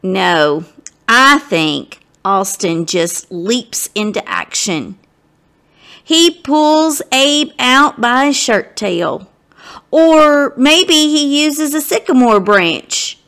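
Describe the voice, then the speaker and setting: Lady doing story time for kids, higher voice, takes her time, really expressive and dramatic. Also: extremely slow, clean tone. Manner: expressive, dramatic, addresses the listeners directly. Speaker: guest story reader. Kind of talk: animated storybook read-aloud for children.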